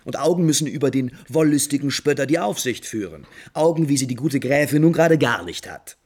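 A man playing an impulsive, refined, lovesick prince in 1950s German radio drama, theatrically shifting between musing and brisk command. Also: mid-range voice, expressive, darkish tone, close to an everyday voice. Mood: animated, critical. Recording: 1950s radio recording with music behind.

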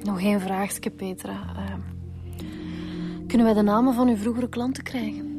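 An actress playing a diligent, brisk police detective in a Flemish radio drama, with a Flemish accent. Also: female voice, mid-range voice, fairly even intonation, music in the background, lightly acted. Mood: businesslike.

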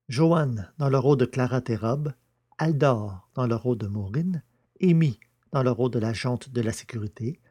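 A man's voice: medium pitch, darkish tone. A man presenting a podcast as host and narrator, reading scripted credits and announcements.

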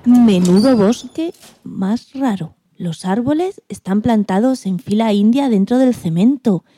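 A woman's voice: on the high side, measured pace, breathy edge, dry recording, studio quality. A woman playing an indignant, timid male guinea pig who tells his story expressively in a children's radio play. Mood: proud, wary. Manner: expressive, exclamatory, plaintive.

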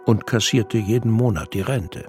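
A man narrating a scripted audio drama in a measured, dry storytelling manner. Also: on the low side, darkish tone. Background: music.

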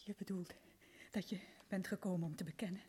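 A woman's voice: fairly low pitch, steady tone, fairly quick, mellow, slightly rough. An actress voicing a frightened young woman awaiting her hanging in a radio drama, whispering and faltering. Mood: accusing.

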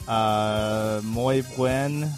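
A man's voice: lowish voice, fairly even intonation, extremely slow, faint music behind. A man hosting a radio show, chatting casually with a caller and laughing often. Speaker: radio host.

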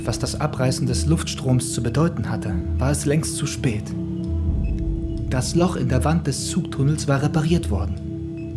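A man's voice: mid-range voice, natural voice. An actor playing an intense, rebellious son telling of his escape in a German radio play. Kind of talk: German radio play acting, dramatic first-person narration. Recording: loud music behind the voice. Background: loud music.

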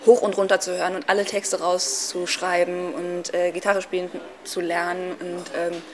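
A woman speaking off the cuff in an interview, halting, with frequent um and uh. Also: lowish voice, slight background noise. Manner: halting, lots of um and uh fillers. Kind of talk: spontaneous spoken commentary.

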